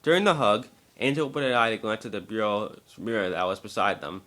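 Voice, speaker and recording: Bright-voiced man narrating a story. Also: on the low side, fast talker. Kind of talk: scripted story narration, dramatic reading.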